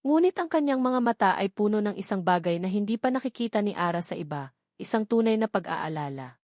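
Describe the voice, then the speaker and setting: A woman's voice: mid-range voice, animated, a little fast, a little mellow, no echo, studio quality. A woman reading scripted, dramatic narration of a love story.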